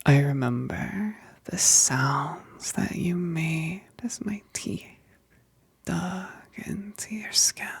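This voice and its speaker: A woman acts out a seductive female vampire in an ASMR roleplay, hungry yet holding back. Her whispered voice is lowish and gravelly, full of breath. She lingers teasingly over her words, close to the mic in a dry space. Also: no room sound, full character voice. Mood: hungry, reminiscing. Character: hungry, seductive female vampire, a possessive but restrained best friend. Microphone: close, dry microphone.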